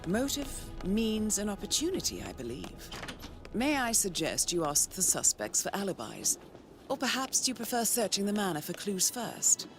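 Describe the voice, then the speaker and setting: Game role: actress as wry female handler, mid-pitched with animated intonation, composed guidance, music clearly behind. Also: normal speed, natural voice. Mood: wry, musing. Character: poised, wry female handler guiding an agent. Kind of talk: video game cutscene acting.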